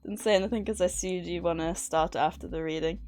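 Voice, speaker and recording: A woman's voice: fairly low pitch, fairly even intonation, fast talker, a little mellow. A woman hosting a livestream, chatting casually in a nervous, giggly way. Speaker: livestream host. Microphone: streaming microphone at a desk.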